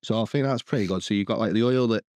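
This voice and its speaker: A man's voice: lowish voice, very fast, darkish tone, dry recording, studio quality. A man co-hosting a podcast, rambling and restarting sentences as he lists the dishes he cooked. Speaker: podcast co-host. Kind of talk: casual chat.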